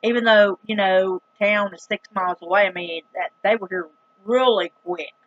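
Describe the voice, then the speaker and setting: A woman's voice: medium pitch, fairly even intonation, normal speed, dull audio. A middle-aged woman with a Southern US accent telling a story to her viewers in a casual, dramatic, emphatic and plain-spoken folksy way. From rural United States. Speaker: vlogger.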